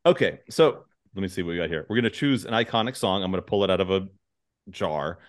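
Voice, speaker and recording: A man's voice: low voice, expressive, quick pace. A man hosting a podcast in casual, joking conversation, rambling along with goofy enthusiasm. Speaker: podcast host.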